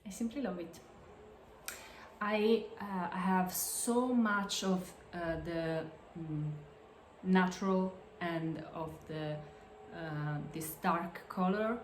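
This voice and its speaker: A woman with an Italian accent, a native Italian speaker, hosting a casual crafts podcast and showing her projects in halting English full of fillers and restarts. She records at home. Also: fairly low pitch, slight background noise. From Italy. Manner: hesitant, halting, many fillers and self-corrections. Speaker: podcast host. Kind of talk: casual show-and-tell of crafts. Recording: echoey room.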